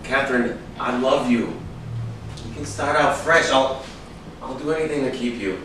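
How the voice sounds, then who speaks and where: A man's voice: medium pitch, normal speed, a bit bright, lightly acted. An actor playing a volatile, possessive man in a staged play, accusing one moment and begging the next, recorded from a distance in an echoey room. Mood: pleading. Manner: accusing, pleading, swinging from bullying to begging. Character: volatile, possessive alcoholic man, a desperate failing writer. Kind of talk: staged play reading, dramatic dialogue. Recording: echoey room, distant microphone, faint noise.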